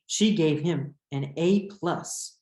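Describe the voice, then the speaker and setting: A man's voice: on the high side, takes it slowly, darkish tone. A man speaking as a teacher in a read-aloud lesson, explaining and questioning for young pupils. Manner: instructive, addressing young pupils. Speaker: teacher reading a picture book aloud. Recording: echoey room.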